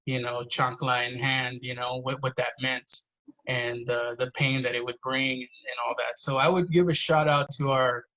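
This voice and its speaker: A man's voice: medium pitch, little pitch movement, moderate pace, fairly bright tone. Earnest swearing man, dull lo-fi sound. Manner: earnest, swearing, storytelling with many "you know"s. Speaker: poet guest. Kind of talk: casual podcast conversation.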